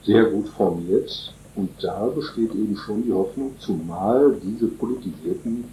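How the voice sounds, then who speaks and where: A man as a guest in a radio interview, speaking haltingly with many fillers and restarts. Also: lowish voice, mellow, roomy sound, a little hiss or hum, rough recording. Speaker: interview guest from a solidarity group.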